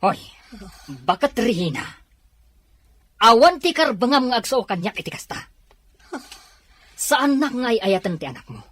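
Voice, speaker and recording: A woman voicing a defiant, curt young man with a husky voice and an Ilocano accent in an audio drama. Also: medium pitch. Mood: indignant. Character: defiant, unrepentant young man rejecting his wife.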